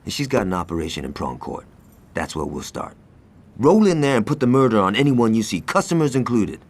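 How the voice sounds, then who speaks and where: A brash, foul-mouthed gang enforcer. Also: male voice, fairly low pitch, very animated, brisk, slightly rough, heavily acted. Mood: scheming. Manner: profane, blunt and sarcastic. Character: brash hot-tempered male gang enforcer. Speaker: gang lieutenant in a crime game. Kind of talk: video game cutscene acting.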